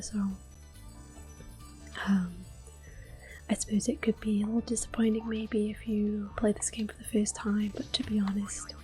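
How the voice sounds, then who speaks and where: A woman giving gentle, hushed, unhurried ASMR commentary on a video game, soft-spoken and often whispering. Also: medium pitch, poor audio. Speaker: gameplay video host. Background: game music.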